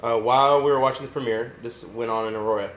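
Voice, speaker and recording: A man's voice: medium pitch, fast, a crowd in the background. A young man co-hosting a casual podcast with friends, joking and laughing as he retells a story. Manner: jokey, laughs often, retells stories with animated asides. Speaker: podcast co-host. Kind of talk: casual chat among friends. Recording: echoey room with faint background hiss.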